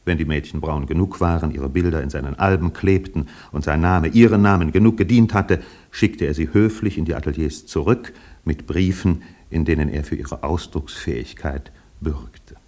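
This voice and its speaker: A man narrating a literary radio play in a measured, precise, faintly ironic manner. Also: a bass voice, a little mellow.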